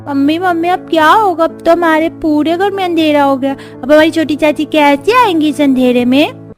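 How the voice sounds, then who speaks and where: A woman voicing an anxious little boy in an animated family story. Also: high voice, normal speed, soft background music, close to an everyday voice. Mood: worried. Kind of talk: Hindi animated story dialogue.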